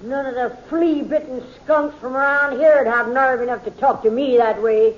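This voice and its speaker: Older female prospector, American, high-pitched, feisty, folksy, old-time radio western, muffled sound. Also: steady tone, moderate pace. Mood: defiant, shouting. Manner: folksy, defiant frontier talk. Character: feisty, stubborn older woman silver miner. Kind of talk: 1950s radio western acting. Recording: dull 1950s radio recording.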